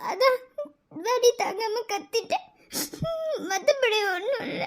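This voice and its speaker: A woman's voice: extremely high pitch, takes it slowly. Woman playing a tearful frightened young wife. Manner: sobbing, pleading. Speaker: voice actor. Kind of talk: audio drama acting.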